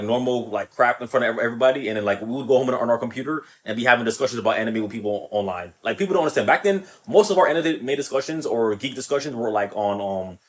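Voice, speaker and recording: A man talking casually in a rambling way to the camera, with many fillers and restarts. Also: mid-range voice. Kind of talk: casual video monologue.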